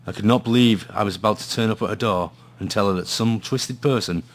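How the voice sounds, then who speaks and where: A man's voice: on the low side, a little fast, close to an everyday voice. An actor playing a gloomy hard-boiled male detective, narrating and talking in a noir radio play with brooding drama. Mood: brooding, grim.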